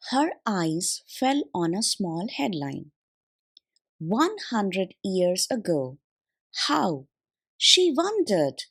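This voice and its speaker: Slow, expressive woman reading aloud. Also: medium pitch, slightly rough. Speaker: audiobook reader. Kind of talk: scripted read-aloud of a school history textbook.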